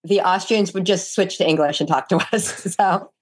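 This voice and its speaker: A woman's voice: on the low side, normal speed, husky. An older woman talking casually as an interview guest, recounting her experiences. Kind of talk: casual conversational storytelling.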